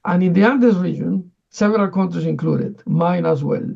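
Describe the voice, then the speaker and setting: A man from Ecuador giving formal remarks from afar over a remote link, halting and full of fillers. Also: high-pitched, vocal fry, breathy edge, dull audio. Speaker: UN division director. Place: speaking remotely from another country.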